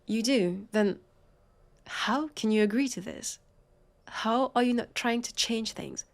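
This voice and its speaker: A woman with a French accent playing a weary, sardonic vampire police officer in an audio roleplay, halting and exasperated. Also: on the high side, gravelly. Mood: weary, wry. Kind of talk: scripted audio roleplay acting.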